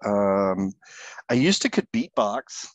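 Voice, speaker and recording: Low-voiced easygoing man, dry sound. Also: takes it slowly, no echo, highs cut off. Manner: easygoing, relaxed, with many ums and rambling asides. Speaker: podcast co-host. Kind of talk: casual chat.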